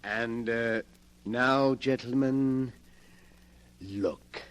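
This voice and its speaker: An actor playing a sly, imperious, wheelchair-bound collector of murder relics in an old-time radio mystery. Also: male voice, medium pitch, extremely slow, clean tone. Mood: proud, showy.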